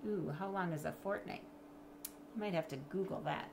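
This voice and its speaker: A middle-aged woman reading a children's storybook aloud in an expressive, measured way, as if to young listeners. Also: lowish voice, gravelly, a little hiss or hum. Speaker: storybook reader. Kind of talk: children's read-aloud with chatty asides. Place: indoors in a home room with bookshelves.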